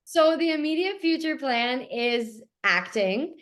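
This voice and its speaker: A young woman from Toronto, Canada, with a raspy voice, talking eagerly as a guest in a casual interview. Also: sits high, expressive, takes it slowly, a bit bright. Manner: eager, gushing, repeats how much she loves things. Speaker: guest actor.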